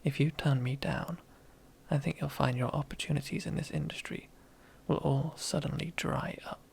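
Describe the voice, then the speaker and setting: A woman's voice: low-pitched, fairly even intonation, moderate pace, creaky, a little mellow. A woman playing a domineering, teasing female boss in an ASMR roleplay, half-whispering in a seductive, commanding way. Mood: controlling, soft. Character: domineering, teasing female boss relishing power over old rival.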